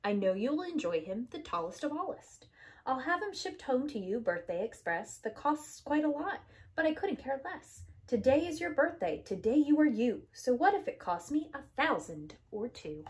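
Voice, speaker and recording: Lady preschool teacher doing storytime, kinda high voice, super up and down, bouncy sing-song rhymes. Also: sing-song, moderate pace. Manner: sing-song, emphatic rhythmic delivery. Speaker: preschool teacher reading to children. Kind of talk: animated read-aloud of a rhyming picture book.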